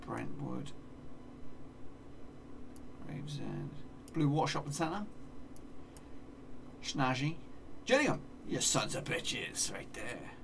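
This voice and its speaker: Gravelly English man muttering game commentary. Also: mid-range voice, fairly bright tone, recorded from a distance, slight background noise. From England. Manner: mutters place names to himself, swears playfully. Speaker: gaming streamer. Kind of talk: casual live game commentary. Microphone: desk mic, seen in webcam corner.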